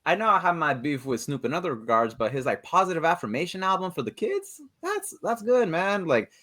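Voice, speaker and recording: A man's voice: a little high, sing-song, fairly quick, fairly bright tone. A man podcasting in casual chat, giving his opinions with emphasis. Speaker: podcast host.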